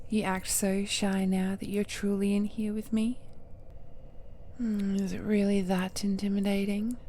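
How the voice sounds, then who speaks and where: Woman playing a slow-talking, seductive ancient villainess. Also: mid-range voice, dark tone, a little hiss or hum, natural voice. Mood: tender, seductive. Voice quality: breathy, often dropping to a whisper. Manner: slow, coy, drawn-out endearments with teasing murmurs. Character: ancient godlike female villain, seductive, possessive, falsely tender. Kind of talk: intimate roleplay audio drama monologue.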